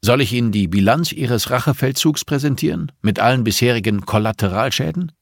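A man's voice: mid-range voice, lively intonation, a little fast, creaky, dry recording, studio quality, pitched above the performer's own voice. A man as a firm, defiant policewoman. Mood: firm, defensive. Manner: firm, pointed, confrontational. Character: firm, defiant female police investigator questioning a suspect.

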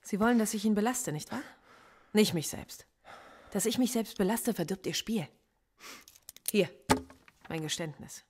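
An actress playing a defiant philosophy professor under police interrogation in a radio drama, impassioned and lecturing. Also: female voice, medium pitch, lively intonation, normal speed, long pauses, darkish tone, husky. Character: female philosophy professor suspect, defiant, intense.

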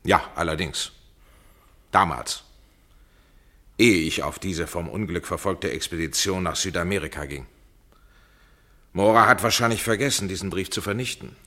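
Earnest doctor, low, bright voice. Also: male voice, normal speed, pauses often. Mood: firm. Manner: polite, firm, formal. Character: earnest, forthright male family doctor, former lover. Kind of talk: German radio crime drama acting.

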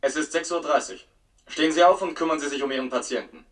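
An actor playing an institution's impersonal wake-up announcer in a radio play, clipped and commanding. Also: male voice, a little high, fast, a bit bright, close to an everyday voice. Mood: impersonal, brisk. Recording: distant from the microphone.

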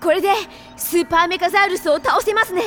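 A young boy robot hero in an anime radio play. The voice is very high and boyish, resolute and earnest, with clear music behind it. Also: quick pace, fairly bright tone. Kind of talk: 1990s anime audio drama acting.

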